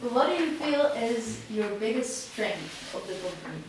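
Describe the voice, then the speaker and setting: A woman's voice: on the high side, lively intonation, moderate pace. Woman interviewer in a very echoey room. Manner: polite, brief prompts. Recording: echoey room, distant mic, faint hiss.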